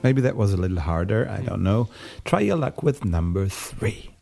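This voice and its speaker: A man's voice: fairly low pitch, big pitch swings, moderate pace, vocal fry, mellow. A man from Germany introducing a music quiz for the hosts in a friendly, playful way. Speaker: listener sending a music quiz. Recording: guitar music playing behind.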